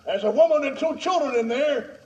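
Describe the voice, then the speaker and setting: A man's voice: extremely high pitch, animated, fairly quick. An American actor playing a stern lawman in a western radio drama, calling out commands to besieged outlaws. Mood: commanding. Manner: shouted, commanding calls. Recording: dull, muffled old radio recording.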